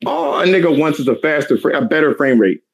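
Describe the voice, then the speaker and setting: A man in his thirties talks in a heated, profane rant, his voice raspy and worn from lack of sleep. He pitches a bit higher than most men and keeps hammering his points with emphatic repetition. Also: expressive, fast. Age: 30s. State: tired, hoarse voice. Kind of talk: heated casual chat.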